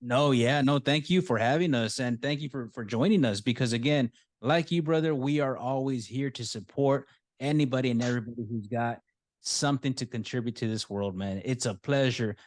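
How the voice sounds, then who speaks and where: A man's voice: medium pitch, fairly quick. A man hosting a podcast, rambling through questions with fillers and restarts. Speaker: podcast host. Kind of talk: casual interview talk.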